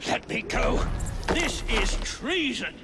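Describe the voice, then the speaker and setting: A man's voice: very high voice, big pitch swings, slow, far from the mic, over loud music, full character voice. An actor playing a defiant Victorian man in a video game, indignant, blustering and threatening. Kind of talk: video game cutscene acting.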